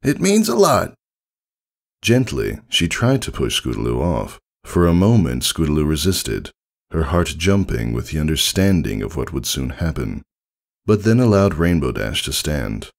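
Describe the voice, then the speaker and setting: A man reading scripted story narration with dramatic, measured phrasing. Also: rumbling low, big pitch swings, vocal fry. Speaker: fan fiction narrator.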